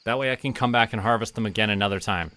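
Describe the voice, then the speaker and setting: A man giving relaxed game stream commentary, casually thinking aloud and talking to himself and the characters. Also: lowish voice, racing. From Canada.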